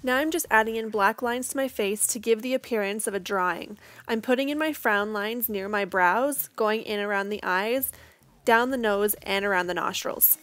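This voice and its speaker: A young woman, hoarse from losing her voice, giving a casual step-by-step makeup tutorial voice-over. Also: medium pitch, normal speed, a bit bright, no echo, soft background music. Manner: casual, chatty, reassuring.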